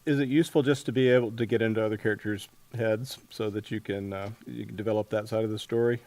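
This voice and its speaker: A man hosting a casual book interview, speaking in a halting way as he thinks aloud. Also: lowish voice, fairly even intonation, darkish tone. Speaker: podcast host interviewing an author.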